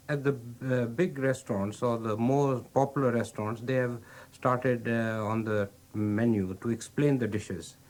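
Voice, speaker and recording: A man's voice: mid-range voice, a little mellow. A man with an Indian accent, a restaurant owner explaining things patiently, with frequent fillers. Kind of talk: explaining, casual interview.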